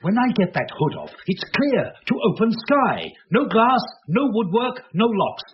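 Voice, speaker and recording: Desperate, defiant man, highish voice with big swings, radio play, dull slightly hissy recording. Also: huge pitch swings, a little slow, full character voice. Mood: stubborn. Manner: emphatic, defiant, agitated. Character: stubborn, desperate man trying to break out. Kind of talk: British radio drama acting. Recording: dull radio recording, faint hiss and clicks.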